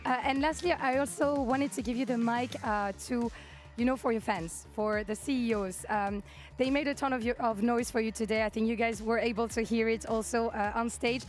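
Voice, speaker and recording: A woman's voice: fairly high pitch, fairly even intonation, brisk, faint music behind. A woman interviewing a player on stage after a match, friendly and prompting with "right?". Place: on stage in an arena.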